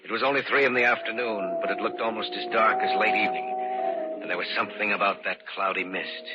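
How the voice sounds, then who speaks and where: An actor playing a steady, concerned man who narrates his eerie adventure in an old-time radio drama. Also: fairly low pitch, quick pace, loud music behind the voice, lightly acted. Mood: somber narrating. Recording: dull, noisy old radio recording.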